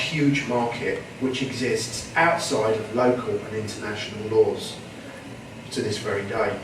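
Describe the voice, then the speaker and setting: Man presenting a paper, measured delivery, reverberant hall, audible background noise. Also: lowish voice. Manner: measured delivery with repeated words. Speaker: conference presenter. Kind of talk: academic conference talk. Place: conference room. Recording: echoey hall with background noise, mic at a distance.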